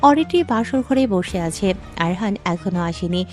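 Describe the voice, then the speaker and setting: A woman's voice: mid-range voice, animated, unhurried, darkish tone, faint music behind. A woman narrating a scripted dramatic story as its storyteller.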